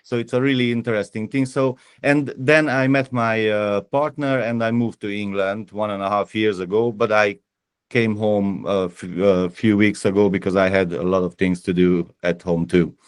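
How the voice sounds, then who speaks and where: A man with a Hungarian accent, from Transylvania, chatting as a guest author and pausing to search for words. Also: lowish voice, fairly even intonation, clear, resonant tone, no room sound. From Transylvania, Romania. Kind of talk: casual podcast chat.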